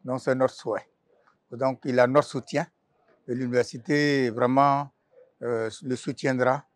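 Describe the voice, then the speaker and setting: A man, speaking as a university president in a formal, halting congratulatory address. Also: medium pitch, no echo.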